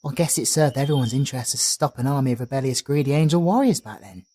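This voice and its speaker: A man's voice: medium pitch, animated, quick pace, dark tone, natural voice. A young man with a British accent, voicing a friendly, chatty male traveler companion who rambles and uses matey slang in an audio roleplay. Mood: storytelling.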